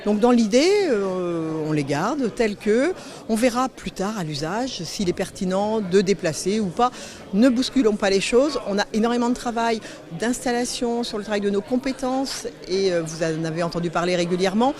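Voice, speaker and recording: Woman official, medium register, spontaneous interview delivery, short measured phrases, repeats words for emphasis. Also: mid-range voice, darkish tone. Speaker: local official being interviewed.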